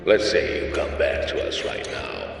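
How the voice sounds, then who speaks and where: An actor playing a gruff, coaxing military major in a sci-fi audio drama, with a deep gravelly voice. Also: male voice, measured pace, soft background music, lightly acted. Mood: smug coaxing. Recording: very echoey, reverberant, distant.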